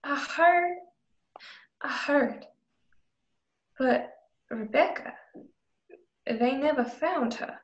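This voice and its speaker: Woman acting a high-voiced haughty frontier widow. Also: animated, extremely slow, pauses often, highs cut off, heavily acted. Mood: feigned sympathy. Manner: condescending, imperious. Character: haughty, condescending businesswoman widow of frontier town. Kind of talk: radio drama acting. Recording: roomy, echoing home recording.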